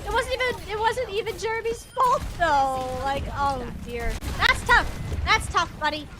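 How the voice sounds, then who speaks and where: A woman's voice: very high voice, animated, unhurried, heavy background noise. A woman doing live esports play-by-play commentary in a casual, wry, slangy way.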